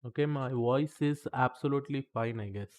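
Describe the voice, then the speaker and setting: A man's voice: medium pitch, a little fast. Chatty man teaching a live lesson. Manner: chatty, repeats okay, talks to the chat between points. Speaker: instructor teaching statistics.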